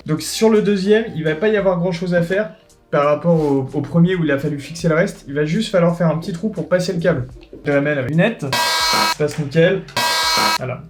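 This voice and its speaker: A man explains a hands-on build step by step in a loose, joking way. His voice sits a little high for a man. Light music plays faintly behind him. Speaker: tutorial host. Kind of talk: casual DIY tutorial with banter.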